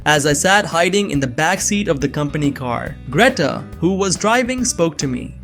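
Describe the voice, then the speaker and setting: A man playing a nerdy, anxious young man on the run, narrating a suspense story in a measured, earnest way. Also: on the high side, animated, vocal fry, fairly bright tone. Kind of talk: first-person scripted narration of suspense fiction. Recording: music behind the voice.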